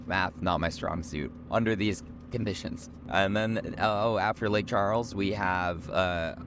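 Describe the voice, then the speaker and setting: Young male co-host, low voice, hoarse and tired, loose rambling chat, car road noise underneath. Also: a little slow. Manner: rambling, self-correcting, chatty. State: hoarse, short on sleep. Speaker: podcast co-host. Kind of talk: casual chat on a road trip. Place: moving car.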